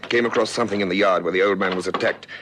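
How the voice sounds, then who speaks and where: An actor playing a methodical, quietly authoritative police lieutenant, musing in deliberate clipped phrases in a vintage radio drama. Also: male voice, low voice, fairly even intonation, close to an everyday voice. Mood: musing, businesslike. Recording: faintly noisy vintage radio recording.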